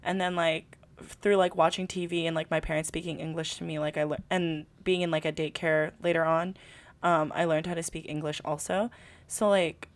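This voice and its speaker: Chatty young woman, lowish voice. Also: level tone, normal speed. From California, USA. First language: Tagalog. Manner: chatty, rambling, full of "like" and laughter. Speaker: podcast co-host. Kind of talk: casual podcast chat.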